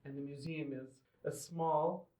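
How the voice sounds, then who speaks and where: A middle-aged man from Lebanon and Qatar giving measured, explanatory answers as an interview guest. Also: fairly high pitch, fairly even intonation, dark tone. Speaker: podcast guest, museum representative. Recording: roomy, echoing sound.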